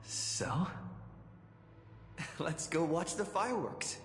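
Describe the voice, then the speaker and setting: An easygoing, caring companion from a video game, speaks slowly at a medium pitch. The voice rings with echo over faint music. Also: male voice, animated, fairly bright tone, full character voice. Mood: warm, playful. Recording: echoing hall reverb.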